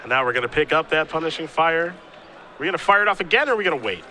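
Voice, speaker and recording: A man calling live game play-by-play, steady when describing plays and easygoing in banter with his co-host. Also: a little high, racing. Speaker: play-by-play commentator. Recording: noisy live event audio.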